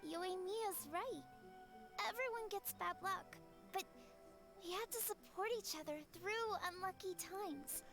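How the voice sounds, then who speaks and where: A woman's voice: very high voice, unhurried. A woman voicing a young, sincere, grateful child-like character in scripted video game dialogue, speaking earnestly and with care. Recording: game audio with music behind.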